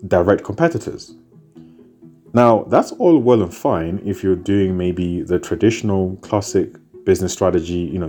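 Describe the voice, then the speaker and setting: A man's voice: deep voice, mellow. A man born in Zimbabwe and raised in the United Kingdom, explaining content strategy in a talk, stacking repeated phrases for emphasis. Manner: repetitive, building lists for emphasis. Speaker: content marketing speaker.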